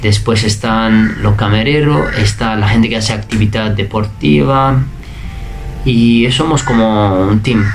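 Italian man speaking relaxed second-language Spanish. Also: fairly low pitch, unhurried, background noise. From Italy. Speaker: interviewee, waiter. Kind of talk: casual interview answers. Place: bedroom indoors.

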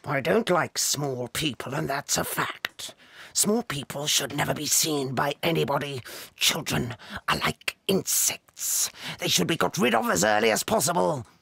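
A fierce, child-hating British headmistress in a children's audio drama. Her voice is harshly gravelly and piercingly forceful. She bullies with imperious, emphatic contempt. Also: low-pitched, animated, measured pace, no echo, exaggerated voice. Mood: menacing disdain.

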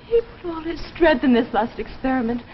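A woman's voice: high-pitched, big pitch swings, fairly quick, slight background noise. An actress in her twenties playing an anguished, devoted daughter in 1950s television drama, with pleading, melodramatic delivery. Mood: distraught. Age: 20s. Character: anguished, devoted young daughter of failing inventor. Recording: muffled old TV soundtrack, faint music.

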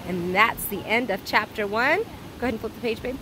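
A woman's voice: a little high, a little fast. A woman reading a children's story aloud expressively, like a teacher, recorded outdoors. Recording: clear outdoor ambient noise.

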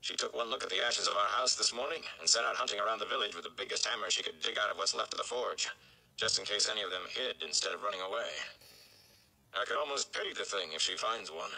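Weary male village blacksmith for a fantasy storybook, acted by a male reader: steady, homespun, gravelly, gruffly reassuring. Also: fairly low pitch, fast talker, full character voice, low-quality recording. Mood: weary, matter-of-fact.

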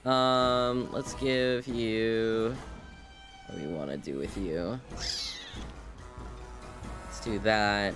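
Unhurried man streaming a game, music behind. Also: fairly low pitch, fairly bright tone. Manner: informal, muses aloud to himself. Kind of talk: casual live gameplay commentary.

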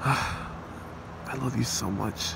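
A devoted, earnest young hero from a fan-made ASMR boyfriend roleplay voiced by a man, fry in the voice, room hum underneath. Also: medium pitch, very slow, heavily acted. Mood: tender. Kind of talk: amateur ASMR roleplay acting. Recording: steady hiss and hum behind voice.

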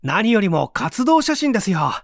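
Man playing an excitable, high-pitched eccentric young man. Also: moderate pace, creaky, no room sound, studio quality, heavily acted. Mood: enthusiastic. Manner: polite, excitable, animated speech. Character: eager, eccentric young man, mystery-fiction fanatic. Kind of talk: dramatized audiobook dialogue.

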